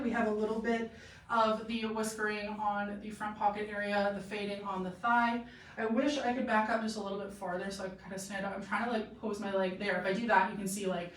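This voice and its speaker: A woman's voice: mid-range voice, a bit bright. A chatty young woman from Canada rambles cheerfully through a clothing try-on, full of "like" and "um." Her throat is a little sore. She stands back from the camera, so her voice sounds distant and roomy. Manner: chatty, rambling, lots of "like" and "um" fillers. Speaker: boutique model and live-stream host. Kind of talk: casual live-stream try-on chat. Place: boutique room with a clothing rack. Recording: echoey room, voice from a distance.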